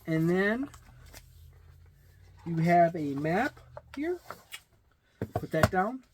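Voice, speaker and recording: A middle-aged man giving a casual, halting, matter-of-fact unboxing and review, recorded in a small room. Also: sits high, sing-song, a little hiss or hum. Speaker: tabletop game reviewer. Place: small indoor room with packed shelves.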